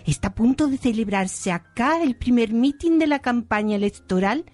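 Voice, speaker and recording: A woman's voice: on the high side, lively intonation, normal speed, darkish tone, dry recording, faint music behind, close to an everyday voice. A woman playing a disdainful, villainous resort manager in a parody radio soap opera. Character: snooty villainess resort manager with evil laugh.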